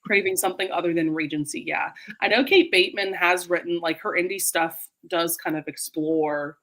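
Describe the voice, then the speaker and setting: Casting: woman host for a casual group livestream, slightly low voice, chatty and emphatic delivery. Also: steady tone, normal speed, fairly bright tone, poor audio. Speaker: host of a livestream book chat. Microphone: video call livestream.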